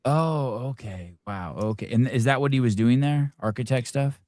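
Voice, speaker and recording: A man's voice: medium pitch, moderate pace, a little mellow, dry recording. A middle-aged man hosting a live interview, rambling and self-deprecating with many restarts. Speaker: podcast host.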